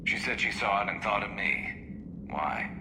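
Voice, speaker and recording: A man's voice: lowish voice, moderate pace, natural voice. An actor voicing a dry, friendly male alien soldier with a flanged timbre, in video game dialogue. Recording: roomy, clearly noisy game ambience.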